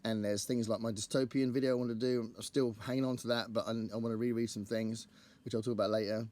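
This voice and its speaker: A man hosting his own book channel, talking casually to camera in a rambling, think-aloud way, his voice croaky from singing at a gig the night before. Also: medium pitch, little pitch movement, quick pace, darkish tone.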